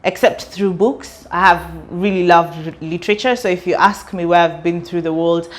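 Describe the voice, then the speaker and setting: A woman's voice: fairly low pitch, normal speed. A young woman from Kenya with a British-sounding accent, chatting casually in an interview and laughing often as she talks. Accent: British-sounding English. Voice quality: laughs often while talking, chatty and expressive. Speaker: interviewee, student and artist.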